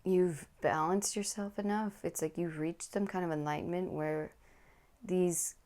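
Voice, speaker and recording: A woman with a low voice giving a tarot card reading, speaking slowly and soothingly and often dropping into a whisper, close to the mic. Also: steady tone, a little mellow. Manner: slow, soothing, drops into whispers. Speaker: tarot reader.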